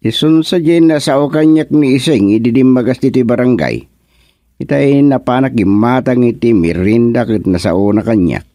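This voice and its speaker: Actor as close-miked Filipino village uncle. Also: male voice, mid-range voice, normal speed, warm tone, no echo, lightly acted. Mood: gossipy. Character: older village chairman uncle, concerned, gossipy. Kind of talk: radio serial drama acting.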